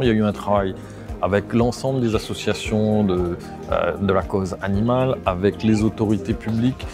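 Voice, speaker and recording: A man speaking as a local official, giving a statement to the press. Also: fairly low pitch.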